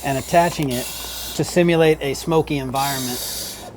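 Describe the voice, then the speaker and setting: A man's voice: medium pitch, normal speed, background noise. A man working as a fire training instructor, explaining a live drill as it happens.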